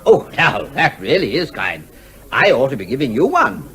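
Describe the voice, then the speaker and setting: An actor playing an ingratiating English newcomer and shameless borrower in a radio comedy, effusively polite and hesitant. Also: male voice, medium pitch, wildly swooping pitch, moderate pace, fairly bright tone. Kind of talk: radio comedy drama acting.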